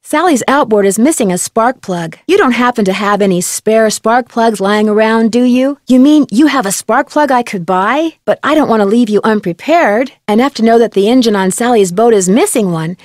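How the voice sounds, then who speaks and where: A woman playing a curious, polite young amateur detective in an adventure game, speaking in an earnest, clearly enunciated, inquisitive way. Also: on the high side, animated, moderate pace, hardly any pauses, darkish tone, dry recording, clean studio sound, lightly acted. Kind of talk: scripted adventure game dialogue.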